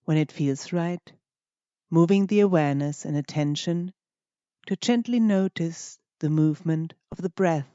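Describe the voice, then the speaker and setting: A meditation teacher gently guiding a practice in short, unhurried phrases with pauses between them. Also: mid-range voice, clean tone, dry recording, muffled recording. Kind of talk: guided meditation narration.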